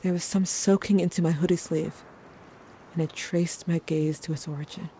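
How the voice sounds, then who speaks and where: A man's voice: a little high, steady tone, moderate pace, mellow, a little hiss or hum, natural voice. A man playing a protective, grieving young friend, narrating events earnestly and intimately in an audio drama. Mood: shaken, tender.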